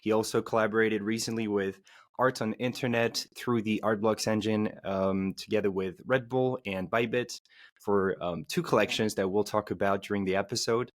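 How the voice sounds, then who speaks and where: A lower-pitched male host sets out the plan for the episode, speaking steadily and filling pauses with ums. His tone stays even and conversational as he leads into the first question. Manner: measured, frequent ums. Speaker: podcast host. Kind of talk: interview introduction and questions.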